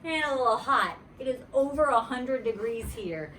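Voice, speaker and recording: Woman, teacher reading to children, very animated, storytime narration, roomy distant recording, faint noise. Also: fairly high pitch, normal speed. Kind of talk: animated storybook read-aloud.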